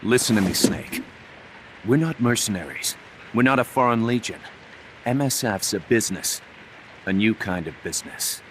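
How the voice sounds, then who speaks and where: An actor playing an earnest, energetic young male mercenary business partner in a video game. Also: lowish voice, moderate pace, long pauses, slight background noise, poor audio. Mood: persuasive.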